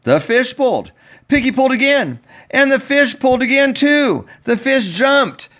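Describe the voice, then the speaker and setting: A man reading a picture book aloud in an expressive, playful way, talking directly to young listeners. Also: extremely high pitch, normal speed. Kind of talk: animated children's storybook read-aloud.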